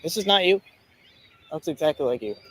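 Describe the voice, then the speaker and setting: High-voiced, insistent man confronting someone outdoors. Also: expressive, fairly bright tone. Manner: insistent, repetitive, halting. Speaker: citizen investigator confronting a stranger. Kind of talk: on-the-street confrontation interview. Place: outdoors in a public place. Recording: outdoor recording with faint ambient noise.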